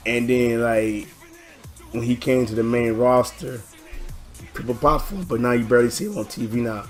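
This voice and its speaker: A man talks through a casual reaction video on his own channel, his voice hoarse and worn. He rambles, stalls on fillers and restarts his sentences at an ordinary mid pitch. Faint music sits underneath him. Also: normal speed. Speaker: channel host.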